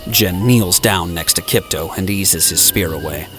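A man's voice: sits low, a little slow. A man reading scripted, dramatic story narration for an audio drama. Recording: music playing behind the voice.

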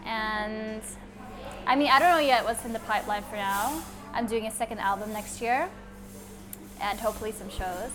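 A woman's voice: a little high, normal speed, rough recording. Woman, native Thai speaker, young adult, guest singer, bubbly chatty, background music. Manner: bubbly, chatty, many fillers. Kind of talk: interview answers.

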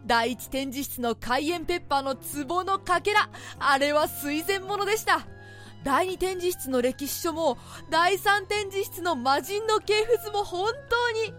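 A woman playing an eager, bookish and timid young male scholar, polite and excitable, in a theatrical radio drama. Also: extremely high pitch, measured pace. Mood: enthusiastic. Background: music.

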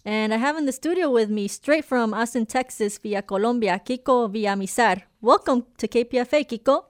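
A woman's voice: fairly high pitch, fairly quick. A woman hosting a radio interview in the studio, asking curious, friendly questions. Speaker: radio host.

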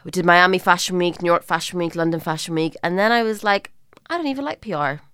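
A young woman speaks in a low, smooth voice with a Northern Irish accent softened by some Scottish. She chats casually and with self-deprecation, as a guest in an interview, and the sound is dry and close. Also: quick pace, dry recording. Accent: Northern Irish mixed with Scottish. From Northern Ireland. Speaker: interview guest, fashion stylist and presenter.

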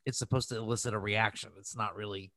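A man's voice: fairly low pitch, fairly even intonation, fast talker, pushed and bright, dry recording, studio quality. A man with an American accent chatting casually as a podcast co-host, informal and freely swearing. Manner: informal, profane, conversational. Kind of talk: casual chat.

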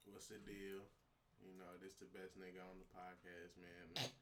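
Teasing low-voiced young man. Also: flat delivery, normal speed, bright, forceful tone, a little hiss or hum. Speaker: podcast co-host. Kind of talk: casual podcast chat.

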